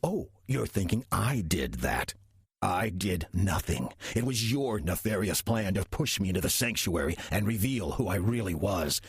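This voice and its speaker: An actor playing a sly demon who passes as a folksy churchgoer, in a wry, calculated audio drama performance. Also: male voice, low-pitched, lively intonation, moderate pace, no echo, full character voice. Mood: smug, taunting. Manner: smooth-talking, wry, calculated. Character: sly demon posing as a friendly small-town churchgoer. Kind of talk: audio drama acting and first-person narration.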